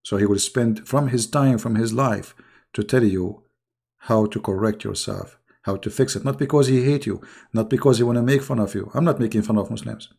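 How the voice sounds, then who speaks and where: Hoarse, gravelly man mocking his opponents. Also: lowish voice, normal speed. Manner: mocking, scornful, with sudden loud rises. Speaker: YouTube channel host. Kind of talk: casual polemical monologue to viewers.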